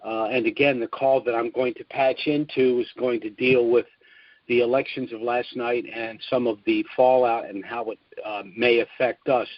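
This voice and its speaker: A man briefs listeners over a conference call, steady and formal, often pausing on an "uh" between phrases. His voice sits at an ordinary pitch, and the dull, muffled line sounds dry and close. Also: no echo. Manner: measured, formal, with frequent "uh" fillers.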